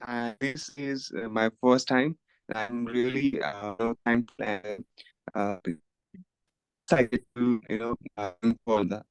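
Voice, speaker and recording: A man's voice: medium pitch, fairly even intonation, slow pace, clear, resonant tone, no room sound, poor audio. A man with a Nepali accent giving an informal self-introduction on an online call, hesitant and phrase by phrase. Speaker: participant, psychosocial counselor.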